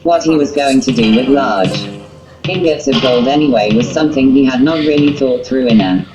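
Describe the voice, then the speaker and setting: A woman's voice: rumbling low, normal speed. A woman reading a wry, wordy literary story in a scripted narration style. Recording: muffled lo-fi, guitar music behind. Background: plucked guitar music.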